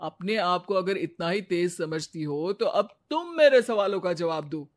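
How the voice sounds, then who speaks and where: A man voicing a teasing, self-assured young husband in an animated story. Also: high-pitched, a little slow. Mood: challenging.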